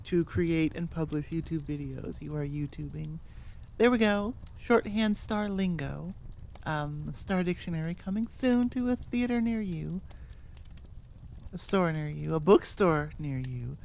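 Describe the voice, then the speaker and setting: A woman's voice: low voice, lively intonation, unhurried, creaky, a little mellow, a little hiss or hum. A woman chatting casually and unscripted as the host of her audio channel, rambling in a playfully self-mocking way. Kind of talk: casual unscripted outro chat.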